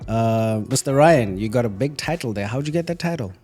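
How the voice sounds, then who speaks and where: Upbeat podcast host. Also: male voice, mid-range voice, animated, brisk, a little mellow, husky, soft background music. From South Africa. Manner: upbeat, announcer-like intros, friendly back-channel. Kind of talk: hosting a podcast interview.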